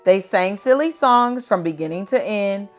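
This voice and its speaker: A woman reading children's picture books aloud in an expressive, dramatic and deliberate storytelling style. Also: mid-range voice, soft background music.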